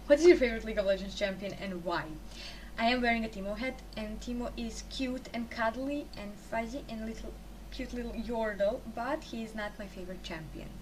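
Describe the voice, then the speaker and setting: A woman's voice: on the high side, a little fast, recorded from a distance. Young woman, Croatian accent, rambling casual talk, sits back from the mic, noisy background. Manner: rambling, self-correcting, chatty. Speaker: esports caster, interview guest. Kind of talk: casual answers to interview questions.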